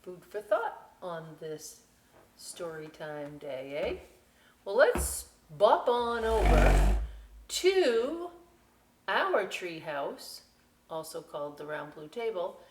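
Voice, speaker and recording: A woman presenting a children's story time, reading aloud with chatty, animated, folksy asides straight to young viewers. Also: lowish voice, slow pace. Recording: roomy, distant mic with faint hiss.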